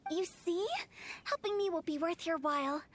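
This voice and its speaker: A woman voicing a mischievous young female funeral director who makes cheerful, macabre sales pitches in a game. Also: normal speed, slight background noise. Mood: teasing. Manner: teasing, salesy, darkly playful. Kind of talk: video game voice acting.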